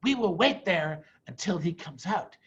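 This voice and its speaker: A man's voice: high voice, expressive, measured pace, fairly bright tone, lo-fi sound. A man voicing a crafty, bossy villainous farmer in a storybook, scheming and commanding.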